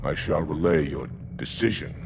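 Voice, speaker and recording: A man's voice: very deep voice, expressive, normal speed, creaky, noisy background, heavily acted. A man playing a proud, indignant alien warrior commander in a sci-fi game, speaking formally and sternly. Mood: restrained resentment. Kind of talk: cinematic sci-fi game acting. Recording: very loud music behind.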